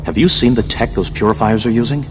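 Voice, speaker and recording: Guy doing worried serious tech ally, mid pitch, explaining stuff, big reverby space, music behind. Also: fast, close to an everyday voice. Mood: grave concern. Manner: earnest, explanatory. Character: grave, worried male tech expert ally.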